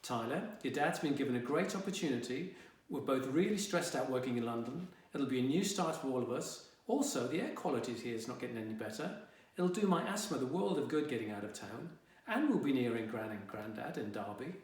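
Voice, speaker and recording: A man's voice: medium pitch, lively intonation, fairly quick, a little mellow, distant mic, lightly acted. A man voicing an anxious mother who earnestly coaxes and reasons with her children in an audiobook. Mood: earnest, coaxing. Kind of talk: audiobook character voice. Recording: very echoey, reverberant room.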